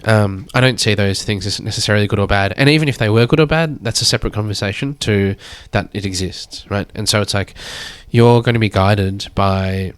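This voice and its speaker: Low-voiced, measured man. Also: clear, resonant tone. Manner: thoughtful, measured, drawn-out phrasing. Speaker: guest artist. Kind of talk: reflective conversation.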